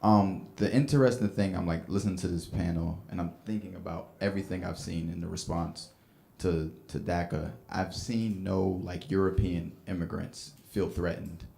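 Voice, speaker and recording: A man's voice: sits low, fairly even intonation, normal speed, creaky, few pauses, dark tone, a little hiss or hum. A man in the audience asking a panel a question, rambling with many fillers and restarts. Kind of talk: unscripted question from the floor. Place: event hall with audience. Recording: echoey room.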